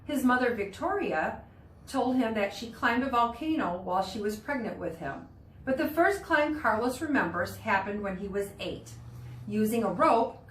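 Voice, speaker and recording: A woman teacher reading a children's nonfiction book aloud to students in an explanatory way. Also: mid-range voice. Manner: explanatory, pauses to explain words and pictures. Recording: roomy, distant mic with background noise.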